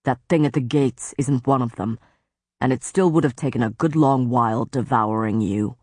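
A stern, cold male faerie lord from a storybook, clipped and scornful, as a woman narrator puts him on. His voice sits very low and sounds dry and close, with no room around it. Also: normal speed, darkish tone, breathy edge, no room sound.

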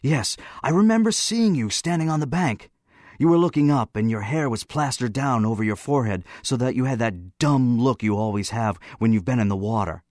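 A man voicing a candid, excitable teenage schoolboy in an audiobook, earnest and a little flustered as he remembers. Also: medium pitch, no echo, clean studio sound, pitched above the performer's own voice. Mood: relieved, eager. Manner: spontaneous, earnest, halting as he remembers.

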